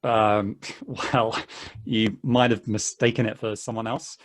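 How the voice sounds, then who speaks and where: A man reading the part of an eager, polite hiker in a stage play table read, with a halting, chuckly, friendly way of talking. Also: lowish voice, little pitch movement. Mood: amused. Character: earnest, chatty, friendly male hiker, eager to befriend everyone.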